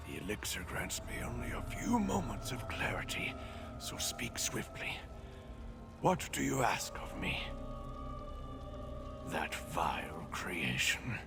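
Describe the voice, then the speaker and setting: Frail, gravelly mad old blind prisoner. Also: male voice, low voice, wildly swooping pitch, whispered, full character voice. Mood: grateful, weary, then haunted, sorrowful. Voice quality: breathy, gravelly, wavering. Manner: halting, theatrical, archaic formal phrasing. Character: mad, blind old male prisoner, former priest, sorrowful. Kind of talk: fantasy video game voice acting. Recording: game music under the voice.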